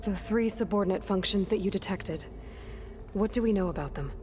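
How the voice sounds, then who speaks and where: A woman's voice: mid-range voice, brisk, darkish tone, soft background music, natural voice. An actress playing a determined, pragmatic young woman hero in a game, talking in a direct, businesslike way. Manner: plain-spoken, direct, businesslike. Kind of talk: scripted game cutscene dialogue.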